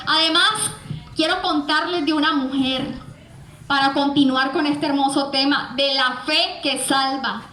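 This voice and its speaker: A woman preaches with a very high, bright voice at an unhurried pace. She speaks earnestly and emphatically to the listener, leaning on questions and repetition. The sound is echoey. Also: slight background noise. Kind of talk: evangelical sermon addressed to the listener.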